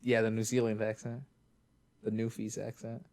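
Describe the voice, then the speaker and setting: A man's voice: lowish voice, monotone, moderate pace, a little mellow. Young Canadian man co-hosting a podcast; playful, teasing, easygoing banter. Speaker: podcast co-host. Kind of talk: casual chat.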